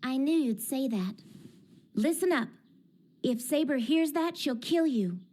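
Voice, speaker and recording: A woman playing a sharp, pragmatic teenage girl mage in an English anime dub, blunt and lecturing. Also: high-pitched, lively intonation, measured pace. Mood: stern. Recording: dramatic music under the voice.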